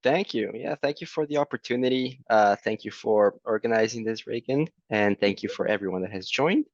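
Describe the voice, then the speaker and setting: A man's voice: fairly low pitch, no room sound. Brazilian-accented man on a muffled call. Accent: Brazilian Portuguese. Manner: friendly, fills pauses with "right?". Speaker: program presenter. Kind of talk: virtual fair presentation. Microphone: video call.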